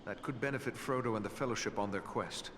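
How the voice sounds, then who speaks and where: An actor playing an earnest human ranger in a fantasy game, speaking formally and steadily with polite courtesy. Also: male voice, lowish voice, fast, fairly bright tone, lightly acted. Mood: earnest, concerned. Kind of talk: fantasy video game character acting. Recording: clear background ambient noise.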